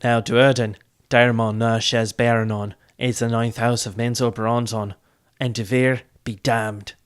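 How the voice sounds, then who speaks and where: Man voicing an ambitious, self-assured dark-elf nobleman. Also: mid-range voice, flat delivery, measured pace, smooth, natural voice. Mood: confident.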